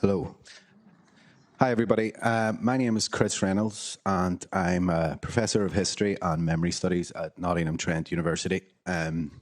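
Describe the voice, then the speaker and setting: A man with a Northern Irish accent, a history professor giving an academic panel talk in a measured, lecturing way with frequent ums. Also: deep voice, fairly even intonation. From Northern Ireland.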